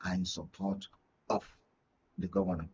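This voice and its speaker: Husky Nigerian man, emphatic political analyst. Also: deep voice, flat delivery, normal speed, a little mellow. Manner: emphatic, repeats points, checks listener follows. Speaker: media analyst and guest. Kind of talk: political analysis in a studio discussion. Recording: muffled, distant mic, lo-fi sound.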